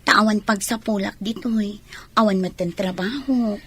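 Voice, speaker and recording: A woman's voice: medium pitch, moderate pace, fairly bright tone, a little hiss or hum, close to an everyday voice. A woman playing a sweet-talking adult lover in a comic radio drama, stretching out her endearments. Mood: coaxing.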